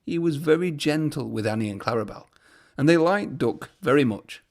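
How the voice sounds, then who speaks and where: A man's voice: a little high, expressive, normal speed, creaky. A man narrating a children's storybook aloud as a storyteller.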